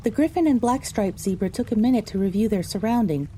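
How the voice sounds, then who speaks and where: A woman's voice: medium pitch, animated, quick pace, dark tone, slight background noise, close to an everyday voice. A woman narrating an audio drama in the first person as a frightened young female pony recounting her misadventure. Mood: dramatic recounting.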